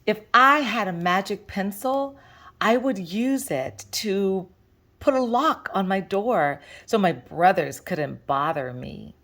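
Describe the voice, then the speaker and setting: A woman reading a picture book aloud to children as a storyteller, with deliberate, expressive phrasing. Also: medium pitch.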